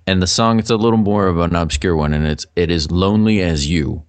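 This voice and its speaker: Low-voiced man chatting. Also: fairly quick, no room sound. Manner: chatty, rambling with restarts. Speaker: podcast co-host. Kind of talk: casual chat.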